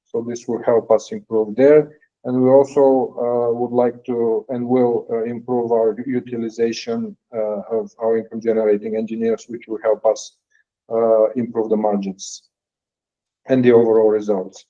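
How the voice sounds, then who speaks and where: A man presenting financial results as a company executive on an earnings call, in a halting, hesitant delivery with frequent pauses. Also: medium pitch, little pitch movement, dark tone. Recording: dull, lo-fi call audio.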